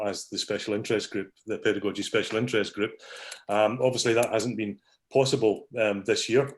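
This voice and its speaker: A man hosting an online academic meetup on a video call, talking casually with many ums and small apologies. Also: lowish voice. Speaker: meetup host. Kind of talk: casual online meeting talk.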